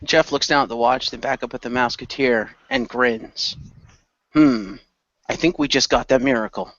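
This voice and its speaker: Bright-toned man playing a role-playing game. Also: mid-range voice, normal speed, no echo, dull audio. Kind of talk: improvised third-person narration with in-character lines.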